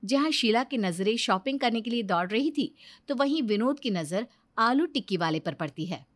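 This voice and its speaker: A woman narrator reading a scripted children's story in an expressive storytelling manner. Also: a little high, moderate pace, no echo.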